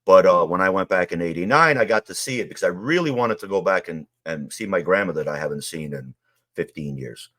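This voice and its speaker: A middle-aged man from Queens, his voice sitting low. He talks casually and rambles in a streetwise way, filling gaps with "you know" as he tells his stories in an interview. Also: animated, brisk, sharp tone. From Queens, New York. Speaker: interview guest. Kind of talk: casual storytelling.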